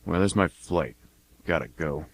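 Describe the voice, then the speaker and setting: An actor playing a blunt, cocky American mercenary war veteran, talking in a folksy, plain-spoken way in a radio play monologue. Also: male voice, low-pitched, measured pace, no echo. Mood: matter-of-fact, boastful.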